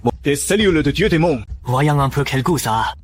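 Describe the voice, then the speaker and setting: A man voicing a cocky, mocking young superpowered fighter in a French dub of an animated action series. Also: a little high, fairly quick, heavy background noise, natural voice. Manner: flippant, taunting.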